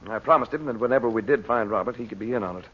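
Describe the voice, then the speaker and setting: An actor playing a brisk, commanding adventurer uncle with an urgent, clipped manner in a 1940s radio serial. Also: male voice, medium pitch, fairly bright tone, husky. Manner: urgent, clipped, takes charge. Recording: muffled, noisy old radio recording.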